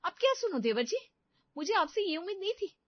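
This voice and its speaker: A woman's voice: very high-pitched, very animated, brisk, no echo, dull audio, pitched above the performer's own voice. A woman voicing a melodramatic, teasing young sister-in-law in a cartoon family story, with a dramatic, reproachful way of talking. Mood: hurt, indignant.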